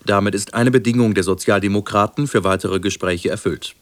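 A man with a standard German accent from Germany reads a formal radio news bulletin in a measured, matter-of-fact way. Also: on the low side, no echo. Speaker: radio newsreader.

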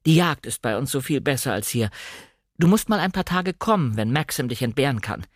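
A man's voice: mid-range voice, expressive, a little fast, no room sound, studio quality, voice pushed up, close to an everyday voice. A man voicing a bossy, outspoken upper-class country woman in a dramatic novel reading. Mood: brisk, bossy. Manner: outspoken, chiding.

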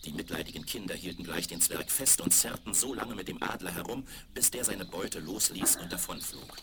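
Deep, gravelly male fairy-tale narrator. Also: a little fast. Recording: crackly, very noisy 1970s vinyl record.

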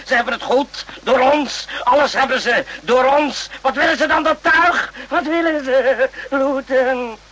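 An actor playing a distraught, embittered military man in a radio play, talking in halting, agitated repetitions. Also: very high-pitched, a bit bright, full character voice. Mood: agitated, vengeful. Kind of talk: Dutch radio play acting. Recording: noisy old radio drama recording.

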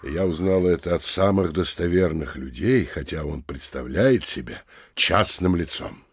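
An actor playing a pompous, middle-aged provincial mayor, deliberate and admonishing, in a theatrical audio drama. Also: male voice, sits low, expressive, slightly rough, no room sound, studio quality, full character voice. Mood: confiding, measured.

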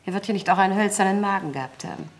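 A woman's voice: medium pitch, racing, natural voice. An actress playing a drily murderous, scheming genteel lady in a radio play, terse and knowing. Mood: sly, scheming. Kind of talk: German radio play acting.

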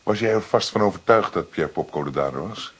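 A man's voice: low voice, expressive, a little fast, fairly bright tone, husky, heavily acted. An actor playing a male police colleague of a detective in a Dutch radio drama. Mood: inquiring.

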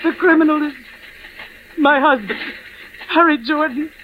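A woman acting a frantic, desperate wife with shrill, hysterical urgency in 1940s radio melodrama, on a dull old recording. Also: a little slow, background noise. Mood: desperate, accusing. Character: frantic, desperate wife denouncing her arsonist husband.

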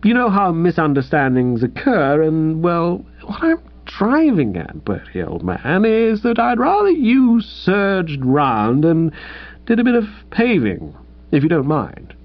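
A man voicing a sheepish, flustered young gentleman who hesitates and stumbles through his words. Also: on the high side, huge pitch swings, measured pace, voice pushed up, exaggerated voice. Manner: hesitant, stammering, full of fillers. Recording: slightly dull lo-fi recording.

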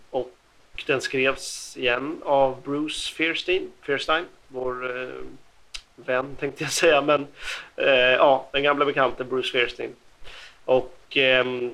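A man presenting game facts on a podcast, speaking haltingly with many hesitant pauses. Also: mid-range voice, a bit bright, rough recording. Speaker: podcast host.

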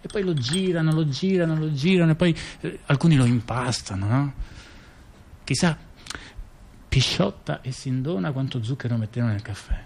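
A man's voice: a little high, normal speed, a little mellow, slight background noise, lightly acted. An actor playing a rambling male intellectual in a radio theatre dialogue, talking hesitantly and often tagging on questions. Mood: wry musing. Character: rambling male intellectual musing on small details. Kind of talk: conversational theatrical monologue.

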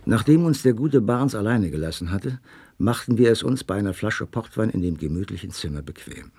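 A man playing a genial gentleman narrator who recalls his adventure in scripted radio play narration, with a husky voice. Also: fairly low pitch, animated, a little fast. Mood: calm, reminiscing.

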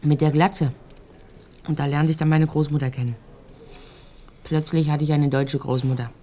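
A woman's voice: sits low, flat delivery, moderate pace, slight background noise, close to an everyday voice. An actress in a radio drama playing a talkative, naive and frank young Ukrainian-born woman who tells her life in a rambling, conversational way. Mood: matter-of-fact, chatty. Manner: rambling storytelling, occasional hushed phrases.

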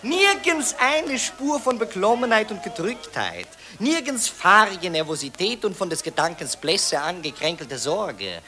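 Casting: a smug, declaiming newsman, high, lively and bright. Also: unhurried, few pauses, exaggerated voice. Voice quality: pompous, declamatory. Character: pompous male journalist, glib and self-satisfied. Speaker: newspaper reporter. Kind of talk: radio drama acting.

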